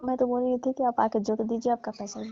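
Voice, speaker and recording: A woman's voice: a little high, flat delivery, quick pace, mellow, slight background noise. A woman chatting casually and explaining herself in a comic phone conversation. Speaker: caller playing a farm owner. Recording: dull lo-fi phone call recording.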